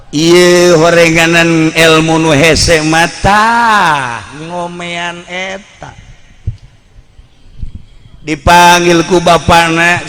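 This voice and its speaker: High, forceful Sundanese male preacher. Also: a little hiss or hum. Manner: earthy, blunt storytelling with acted-out dialogue. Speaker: Islamic preacher. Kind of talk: Sundanese sermon told as a folk tale.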